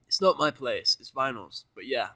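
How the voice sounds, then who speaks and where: A man's voice: medium pitch, animated, moderate pace, sharp tone, no room sound, rough recording. A man voicing a sullen, guarded and impatient male outsider in a story reading. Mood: irritated.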